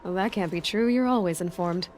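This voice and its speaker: A woman's voice: fairly low pitch, brisk, a little mellow, a little hiss or hum, close to an everyday voice. A woman acting a resolute young huntress heroine in a video game, dry and direct. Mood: wry, sincere.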